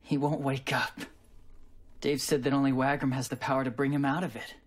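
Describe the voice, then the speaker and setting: A man's voice: medium pitch, flat delivery, a little fast, close to an everyday voice. An actor playing an earnest, impulsive teenage boy hero in a video game, with a casual, youthful, open manner. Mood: distressed. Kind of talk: video game cutscene acting.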